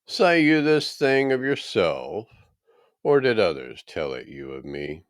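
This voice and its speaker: A man acting out a resolute male holy teacher in a scripture story. The voice is deep and smooth, with slow, solemn delivery. He challenges his accusers calmly and deliberately. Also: dry recording, clean studio sound. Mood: probing.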